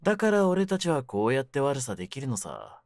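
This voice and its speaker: A man playing a cynical, wounded young mafia kidnapper in an audio drama, with a low voice and rough, brooding delivery. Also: huge pitch swings, a little fast, a little mellow, no room sound, studio quality. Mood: cold, taunting. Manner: rough masculine slang, taunting, brooding delivery. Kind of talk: Japanese situation-voice audio drama, ASMR.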